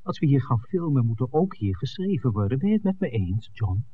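An actor playing an eager, easygoing filmmaker with an eye for women in a 1960s Dutch radio drama. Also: male voice, medium pitch, big pitch swings, brisk, breathy edge. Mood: friendly, keen. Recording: muffled, narrow old radio recording.

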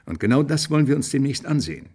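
Sardonic sceptic scientist for radio drama; husky, with big swings in pitch. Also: male voice, sing-song, quick pace, a little mellow. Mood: sardonic, scheming. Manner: sardonic, provocative. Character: sceptical, caustic male scientist, sardonic and combative. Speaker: actor in a radio play. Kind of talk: German radio drama acting.

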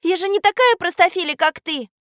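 Storybook schoolgirl, played by a woman: high, swooping voice, exclamatory, quick to take offence or fright. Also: big pitch swings, no echo, studio quality. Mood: indignant. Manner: exclamatory, pleading. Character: excitable young schoolgirl, indignant and easily frightened. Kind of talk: audio storybook character acting.

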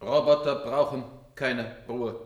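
An actor playing an obliging, businesslike household robot in a science-fiction radio play. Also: male voice, mid-range voice, fairly even intonation, unhurried, roomy sound, lo-fi sound, natural voice. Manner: matter-of-fact, businesslike, deferential. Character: eager, obliging male household robot worker.

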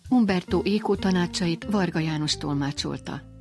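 Low-to-mid female presenter over faint music. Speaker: radio programme presenter. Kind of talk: scripted narration.

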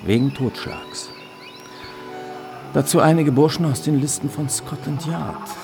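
A man's voice: a little high, unhurried, vocal fry, over music. An actor playing a wry, melancholy police investigator in his late thirties in a German radio drama, speaking in a dry, laconic, hesitant way. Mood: dry irony. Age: late 30s. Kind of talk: radio drama, first-person narration and dialogue.

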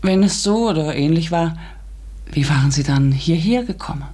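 A thoughtful narrator in a radio drama. The voice is slightly breathy and husky, with a measured, deliberative, questioning delivery. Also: male voice, on the high side, lively intonation, mellow. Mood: reflective. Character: reflective narrator with a scientific bent.

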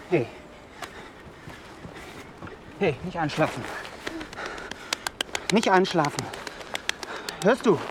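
A man's voice: high voice, heavily acted. An actor playing an anxious man in a radio drama who urges a companion on in short, urgent calls. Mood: pleading, urgent. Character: anxious man urging a fading companion to stay awake. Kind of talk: German radio drama acting, urgent and naturalistic. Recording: clearly noisy background with clicks.

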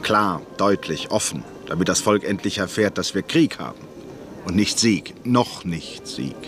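An actor playing a grave, earnest industrialist in a radio play, speaking in a measured, bitter way. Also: male voice, deep voice, lively intonation, a bit bright, faint music behind. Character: Rathenau, grave worried industrialist. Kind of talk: radio drama acting.

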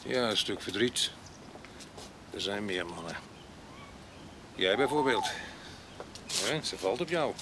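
An actor playing a rough, wild man with a past in a radio drama, mocking and blustering in a coarse way. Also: fairly low pitch, lively intonation, slow pace, pauses often. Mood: goading. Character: rough, wild, taunting man with a past, vagabond grape picker. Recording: noisy 1980s radio drama with outdoor ambience.

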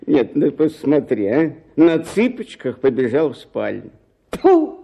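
A man's voice: a little high, big pitch swings, a little slow, creaky, mellow, exaggerated voice. An actor playing an exasperated, scornful man in a radio play, with a sighing, mocking manner. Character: exasperated, scornful male visitor.

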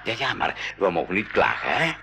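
A man's voice: low-pitched, huge pitch swings, brisk, gravelly, pushed and bright, full character voice. A man playing a kindly, adventurous professor in a school radio play, given to mutters and hearty chuckles. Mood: jovial. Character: kindly adventurous male professor, inventor of a flying submarine. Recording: faintly noisy 1980s radio drama recording.

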